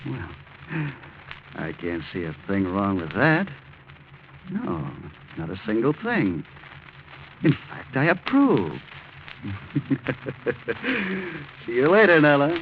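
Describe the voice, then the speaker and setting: An actor playing a cocky, scheming young blackmailer in a glib, sneering 1940s radio drama style. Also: male voice, medium pitch, huge pitch swings, slow, long pauses, noisy background, exaggerated voice. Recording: muffled old 1940s radio recording.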